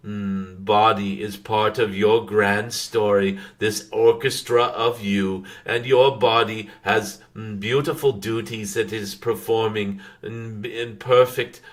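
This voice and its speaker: Man channeling a slow, low, wise dragon spirit. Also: fairly even intonation. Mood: calm, earnest. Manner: halting, measured, frequent humming pauses, formal phrasing. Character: wise, gentle dragon spirit addressing followers as dear ones. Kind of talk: channeled spiritual guidance, unscripted teaching.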